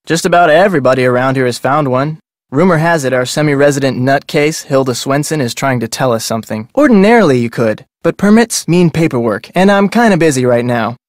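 A man's voice: mid-range voice, animated, brisk, no room sound, clean studio sound, lightly acted. A man voicing a chatty, salesmanlike whale-watch operator with a sly side in a video game, with a breezy, glib way of talking. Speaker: game voice actor. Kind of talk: video game character dialogue.

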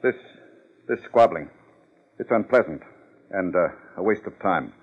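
Pompous, low-voiced gentleman villain. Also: animated, measured pace, husky, full character voice. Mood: fastidious, hesitant. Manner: fussy, hesitant, pompous. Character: prissy, evasive, respectable male philanthropist villain. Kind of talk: 1950s radio drama acting. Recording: dull, lo-fi 1950s radio recording.

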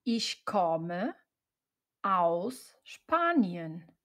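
A woman reading short example sentences for learners, saying each one twice in clear, separate chunks. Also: lowish voice, very animated, extremely slow. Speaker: German teacher.

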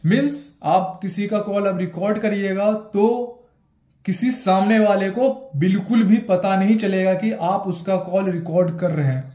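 High-voiced young Indian man, echoey room. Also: a little slow, warm tone. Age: 20s. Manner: explanatory, repeats steps for emphasis. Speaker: tech tutorial host. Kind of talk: step-by-step phone tutorial. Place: small bare indoor room.

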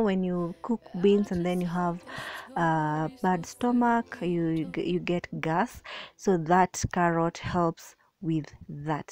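A woman's voice: fairly low pitch, darkish tone. A young woman chatting casually as she narrates her cooking prep, with frequent fillers and restarts. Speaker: vlogger. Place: home kitchen.

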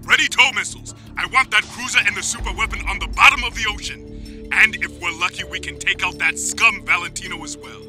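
A man's voice: high-pitched, a little fast, pushed and bright, faint music behind. A man playing an urgent, commanding warship officer in an audio drama. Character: urgent naval crew and commanding captain aboard a warship.

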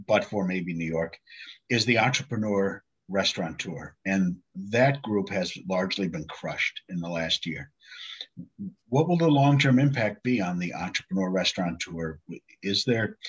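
A man in his fifties moderating a panel, putting questions in a measured, halting way. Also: deep voice, fairly bright tone, husky. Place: home office with bookshelves. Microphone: computer mic on video call. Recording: dull video-call audio.

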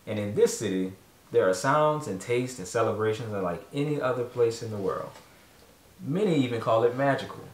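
A man in his thirties, a father casually reading a storybook aloud to his children at home. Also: medium pitch. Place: carpeted room in a home, indoors. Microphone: distant camera microphone. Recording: roomy echo from a distant camera.

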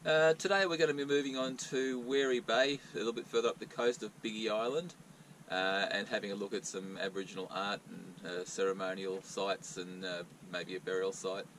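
A man's voice: fairly low pitch, fairly even intonation, brisk, fairly bright tone, slight background noise. A man, talking casually to camera from the cockpit of a small yacht, with many ums and uhs. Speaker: sailing vlogger.

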